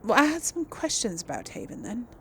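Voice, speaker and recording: A woman's voice: fairly high pitch, wildly swooping pitch, fairly quick, gravelly, fairly bright tone, slight background noise. A woman giving casual game commentary, musing aloud as she plays and role-plays dialogue. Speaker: gamer, series host.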